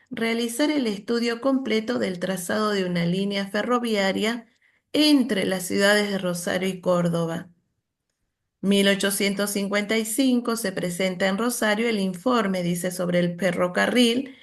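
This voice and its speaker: A woman from Argentina, leading a workshop and telling a family story in a reflective, storytelling manner. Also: medium pitch. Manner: reflective, storytelling, many "¿no?" tags. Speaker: workshop leader. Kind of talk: online workshop presentation.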